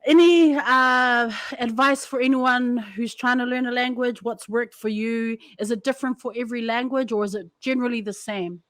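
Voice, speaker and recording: A woman's voice: on the high side, fairly even intonation, normal speed, hardly any pauses, a bit bright, no room sound. A woman hosting a podcast interview, chatting casually and nudging her guest along with short responses. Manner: brief encouraging backchannels. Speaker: podcast host.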